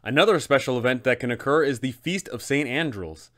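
A man's voice: mid-range voice, animated, brisk, fairly bright tone. Emphatic man hosting a game guide. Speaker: host of a tabletop game master guide. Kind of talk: enthusiastic explainer to camera.

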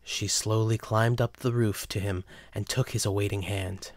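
A man narrates a dramatic story from a script. His voice is fairly low and the recording is dry and close. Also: steady tone, moderate pace, no room sound.

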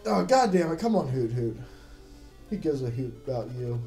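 A man's voice: medium pitch, very animated, normal speed, creaky, dark tone, roomy sound, soft background music. A young man, a bit drunk, rambling and joking while playing a video game with a friend. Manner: joking, rambling, laughs often. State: tipsy. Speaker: game commentator. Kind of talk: drunk casual let's play banter.

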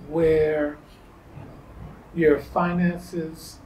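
A middle-aged man being interviewed, speaking in emphatic, drawn-out phrases. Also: fairly high pitch, flat delivery, a little mellow, echoey room, far from the mic, a little hiss or hum, rough recording. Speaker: interview guest. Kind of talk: reflective interview talk. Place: indoors against a pale wall.

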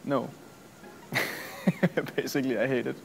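A Danish man in his thirties with a mid-pitched voice that stays fairly level. He chats through interview answers in a relaxed, joking manner. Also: a little slow, vocal fry, slight background noise, rough recording. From Denmark. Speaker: violinist. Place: museum gallery.

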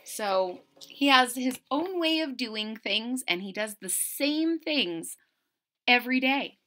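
A middle-aged woman reading a picture book aloud to children, expressive and deliberate, pausing to question her listeners. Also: fairly high pitch. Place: small indoor room at home.

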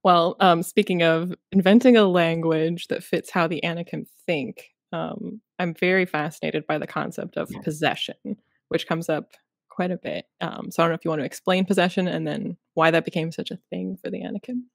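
A woman's voice: on the low side, steady tone, normal speed, vocal fry, dry recording. A young woman hosting a casual interview over a video call from her home, chatty and thinking aloud. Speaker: host. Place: home room with bookshelves.

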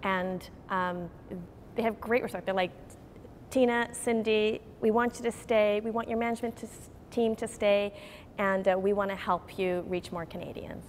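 A woman's voice: mid-range voice, steady tone, moderate pace, slight background noise. A woman in her forties, a grocery chain executive, speaking earnestly and animatedly in a TV news interview inside a store.

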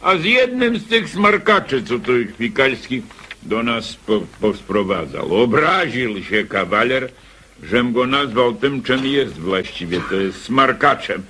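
An actor playing a proud, blustering, bossy old military colonel in a radio play. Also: male voice, medium pitch, sing-song, unhurried, sharp tone, a little hiss or hum. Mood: proud, defiant. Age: older. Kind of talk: radio drama acting.

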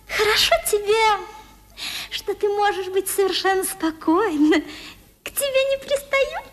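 A woman's voice: extremely high pitch, unhurried, fairly bright tone. An actress in a 1970s radio play playing a flighty young daughter with an affected, girlish, coquettish manner. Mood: giggly, coy.

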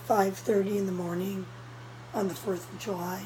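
A young woman with a hoarse voice, telling a story in a casual vlog, urgent and breathless, filmed close up on a phone in a small room indoors. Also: mid-range voice, fairly even intonation, moderate pace, background noise. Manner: breathless, urgent retelling of a scare. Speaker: cat vlogger. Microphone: phone camera held close, low angle.

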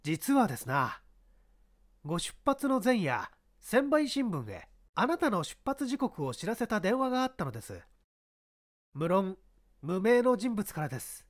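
A man's voice: high voice, very animated, unhurried. A man playing a courteous, inquisitive police inspector in a mystery story reading. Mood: politely probing. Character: polite, probing male police inspector. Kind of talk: radio-drama style mystery reading.

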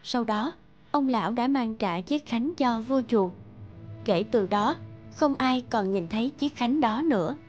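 A woman's voice: on the high side, lively intonation, measured pace, a little mellow, dull audio. A woman giving scripted storybook narration as the narrator of a folktale. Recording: music behind the voice.

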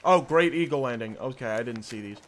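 A man's voice: mid-range voice, lively intonation, brisk. A young man streaming a video game with casual, easygoing commentary and the odd swear word. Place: indoor room at a desk. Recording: noisy stream with boat and sea ambience. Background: game sounds of boat and water.

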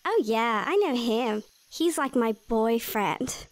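A woman's voice: a little high, lively intonation, takes it slowly, long reverb tail. A breezy squirrel girlfriend.

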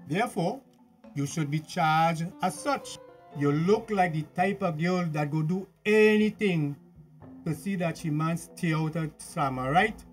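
An actor with a Tobagonian accent playing a pompous, badgering police officer interrogating someone in a radio drama. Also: male voice, on the high side. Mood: smug, probing.

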